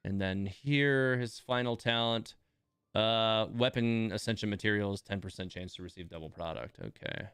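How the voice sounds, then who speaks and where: A man's voice: lowish voice, moderate pace, fairly bright tone, smooth, no echo, clean studio sound. A man hosting a casual gaming livestream, thinking aloud as he reads and comments on game details. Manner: thinking aloud, reading game text with asides. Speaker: livestream host.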